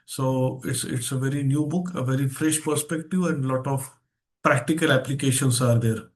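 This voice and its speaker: A man with an Indian accent leads an online mindset seminar as guest speaker. His voice sits at a medium pitch, and he stresses key phrases. He often puts rhetorical questions to his listeners. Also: fairly even intonation, normal speed. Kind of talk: interactive online seminar talk. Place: online video session.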